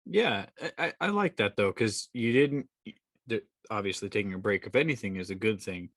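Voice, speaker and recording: A man's voice: on the low side, expressive, moderate pace, a bit bright, no echo, studio quality. A man hosting a podcast interview, asking long rambling questions full of restarts. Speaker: podcast host. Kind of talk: conversational interview.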